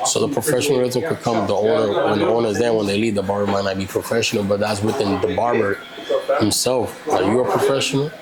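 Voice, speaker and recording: A low-voiced man in his thirties, a barber from Puerto Rico whose first language is Spanish. He rambles in casual interview talk and keeps tagging "you know" and "like I said". Loud crowd noise sits behind him. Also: steady tone, fast, low-quality recording. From Puerto Rican. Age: 30s.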